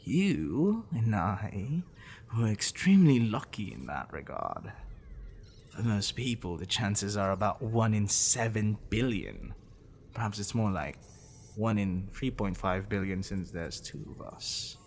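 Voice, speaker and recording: A man playing a possessive, smitten professor in an intimate ASMR roleplay, wordy and clinical, with teasing, musing pauses. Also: lowish voice, very animated, darkish tone, a little hiss or hum, exaggerated voice. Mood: lecturing, smitten.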